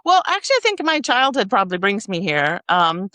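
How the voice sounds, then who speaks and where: A middle-aged American woman answering interview questions in a measured, thoughtful, conversational way. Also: medium pitch, sing-song, pushed and bright, no echo. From raised in Kansas, United States. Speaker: interview guest, nonprofit executive.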